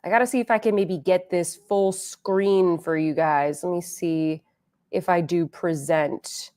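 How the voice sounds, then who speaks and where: A woman's voice: on the low side, fairly even intonation, measured pace, mellow. A woman hosting a beauty livestream, chatting casually with viewers and reading their comments aloud, a little congested and sniffly. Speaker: beauty channel host.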